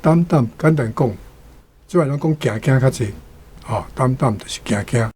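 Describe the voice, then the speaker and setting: A man speaking as a guest, explaining the meaning and pronunciation of a word in an unhurried way. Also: medium pitch, animated, darkish tone, slight background noise. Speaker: guest commentator on language. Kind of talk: explanatory talk.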